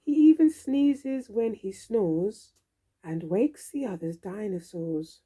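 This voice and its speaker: A woman reading a storybook aloud for young children in an expressive, theatrical, drawn-out way. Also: mid-range voice, husky. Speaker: storybook reader.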